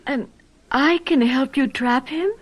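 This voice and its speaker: An actress playing a brave, determined young fiancée in a radio mystery drama. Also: female voice, high voice, slow pace. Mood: willing.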